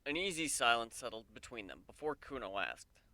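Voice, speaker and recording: A man's voice: mid-range voice, very animated, a little fast, bright, forceful tone, no room sound. A man narrating a scripted story in a dramatic reading style. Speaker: narrator of a fan fiction reading.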